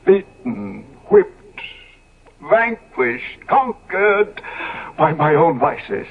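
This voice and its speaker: Decadent gentleman for radio melodrama: high-pitched, very animated, declamatory, appalled and weary of life. Muffled vintage sound. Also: extremely slow, slightly rough, full character voice. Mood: indignant. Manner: theatrical, exclamatory, declamatory. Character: Sir Henry, decadent, dissolute gentleman, appalled and world-weary. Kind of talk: 1970s radio drama acting. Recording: dull vintage radio recording.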